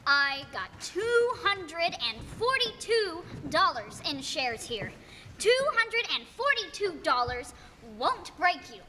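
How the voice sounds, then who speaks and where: A woman's voice: very high-pitched, very animated, takes it slowly, bright, forceful tone, a little hiss or hum, full character voice. A young woman acting an angry, demanding small-town shareholder in a stage radio play, indignant and insistent. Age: teens or young adult. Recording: reverberant hall with crowd behind.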